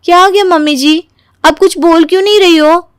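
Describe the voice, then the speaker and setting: A woman voicing a sly, playful young daughter-in-law in a cartoon story, sugary and expressive while feigning innocence. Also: extremely high pitch, fairly quick, no echo, clean studio sound. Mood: mock innocent. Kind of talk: animated Hindi moral story voice acting.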